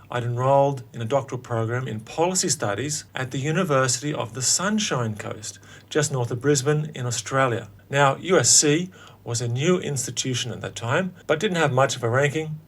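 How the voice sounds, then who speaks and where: A man's voice: medium pitch, fairly bright tone. Wry, theatrical man in his late forties. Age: late 40s. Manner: wry, theatrical, with dramatic pauses. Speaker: podcast host telling his own story. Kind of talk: scripted personal narration.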